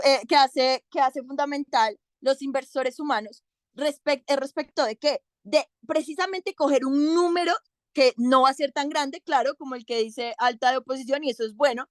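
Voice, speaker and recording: A young woman argues her side in a debate, her voice sitting high and bright. She drives hard through a list of numbered points. The sound is close and dry, with almost no room around it. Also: no room sound. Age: young adult. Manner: emphatic, counts points off one by one. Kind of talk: competitive debate speech.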